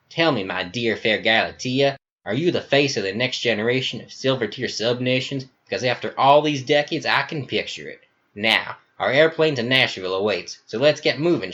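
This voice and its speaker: A man's voice: medium pitch, fairly bright tone, muffled recording, voice pushed up, close to an everyday voice. A man voicing a flamboyant, sinister fifty-year-old male governor with an American Southern accent, grandiose and fawning.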